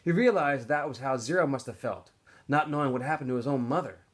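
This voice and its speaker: A man reads a story aloud with expressive, lively intonation. His voice is somewhat husky, and he narrates like an animated storyteller addressing students. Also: medium pitch, brisk. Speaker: teacher or school staff reading aloud to students. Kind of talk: expressive audiobook-style narration.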